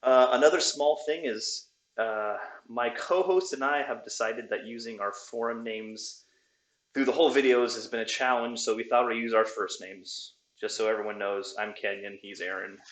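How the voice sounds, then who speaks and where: A man hosting a casual chat about a tabletop wargame, talking in a relaxed, halting way with many fillers. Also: mid-range voice, echoey room. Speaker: podcast host. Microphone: new desktop microphone.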